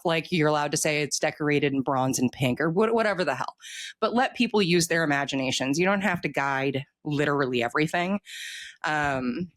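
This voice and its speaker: Interviewed author, mid-range pitch, informal and emphatic, conversational. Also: fairly bright tone.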